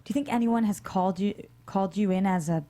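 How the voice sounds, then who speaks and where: Casting: actress as cool tough fugitive woman, crime comedy, dry and blunt, medium pitch. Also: quick pace, mellow, lightly acted. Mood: guarded, practical. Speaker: actor at a script table read. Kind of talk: live table read of a crime comedy screenplay.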